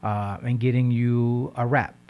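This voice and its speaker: A man's voice: medium pitch, flat delivery, unhurried, phone-quality sound. A man hosting a radio talk show. His voice sits in an ordinary middle register. He talks animatedly, stumbles over repeated words, and checks in with "right?" after nearly every point. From Haitian background. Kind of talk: casual health talk on radio.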